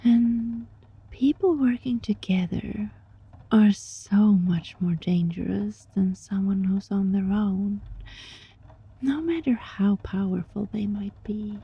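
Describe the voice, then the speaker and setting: A woman voicing a sly, teasing, controlling yet gentle fox-spirit roommate in an ASMR roleplay, with a breathy voice that often drops into a whisper. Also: medium pitch, no room sound, muffled recording, full character voice. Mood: firm, resolute. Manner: slow, teasing, softly menacing. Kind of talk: ASMR roleplay, scripted monologue addressed to listener.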